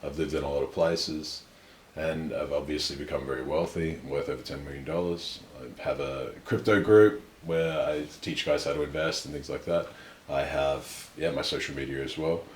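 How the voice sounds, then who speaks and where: A young man telling his life story in a relaxed, self-assured, measured way during a casual conversation. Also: a bass voice, a little hiss or hum. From Australia. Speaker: guest. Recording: echoey room with faint ambience.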